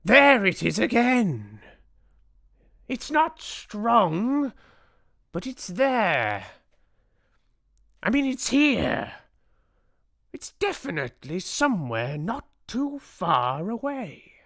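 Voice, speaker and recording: A storyteller voicing an excitable, keen-nosed female witch in a shrill, urgent, halting way. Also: vocal fry, dry recording, clean studio sound, exaggerated voice. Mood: straining, intent.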